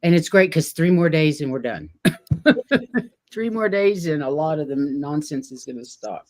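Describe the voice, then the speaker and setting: A woman hosting a relaxed online chat show. Her voice sits low and she takes her time, easing along with fillers like "um" and gentle laughter between phrases. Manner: slow, chatty, with frequent fillers and soft laughs. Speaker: host. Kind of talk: casual livestream chat.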